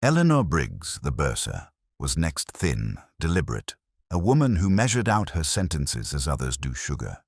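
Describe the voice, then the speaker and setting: Very deep-voiced man narrating a detective story. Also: wildly swooping pitch, moderate pace, dry recording, clean studio sound.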